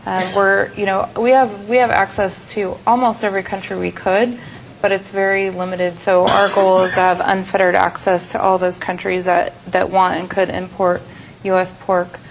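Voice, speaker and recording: An American woman gives a businesslike presentation at a trade expo. Her delivery stays quite flat in pitch and she pauses often on fillers. Faint background noise sits behind her. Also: low-quality recording. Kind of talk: conference presentation. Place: conference hall at a trade expo.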